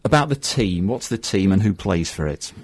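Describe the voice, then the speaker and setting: A man's voice: deep voice, lively intonation, moderate pace, dry recording. A man hosting a radio interview, putting questions to his guests. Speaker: radio host.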